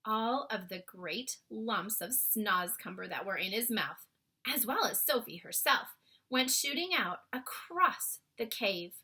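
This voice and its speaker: A woman reading a children's story aloud as expressive scripted narration. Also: medium pitch, measured pace, breathy edge. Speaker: storybook reader.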